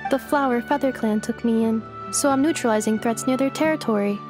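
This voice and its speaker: Woman voicing a polite, literal-minded robot girl, higher voice. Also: fairly quick, over music, natural voice. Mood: flat, inquisitive. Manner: stilted, formal, emotionless robotic phrasing. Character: polite literal-minded female robot relearning how to converse. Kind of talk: scripted video game dialogue.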